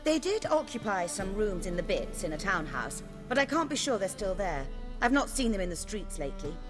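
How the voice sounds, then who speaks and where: A brisk, refined theatre woman from a video game, acted by a woman. She is dryly wry, with a medium pitch that moves a lot, and music plays clearly behind. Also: big pitch swings, lightly acted. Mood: wry, businesslike. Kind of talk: video game character acting.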